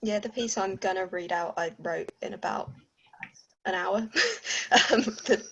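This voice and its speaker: A woman's voice: mid-range voice, measured pace, pushed and bright, husky, low-quality recording. A woman writer chatting casually and spontaneously on an online call.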